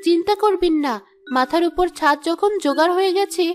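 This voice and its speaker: Cartoon role: a resourceful young wife, voiced by a woman, high voice, steady and reassuring, light music under her. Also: fairly quick. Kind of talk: Bengali cartoon voice acting.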